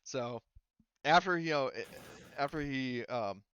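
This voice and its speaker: A man running a tabletop game as game master, improvising narration in a halting, casual way. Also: medium pitch, lively intonation, a bit bright, clean tone, no room sound, lo-fi sound.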